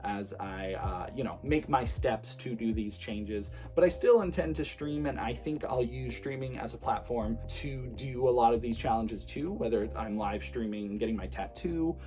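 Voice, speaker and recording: A man in his late twenties, a streamer, talking casually to his viewers in a relaxed, rambling way with many fillers. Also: mid-range voice, normal speed, few pauses, soft background music. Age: 20s. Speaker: streamer and vlogger. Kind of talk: casual talk to camera.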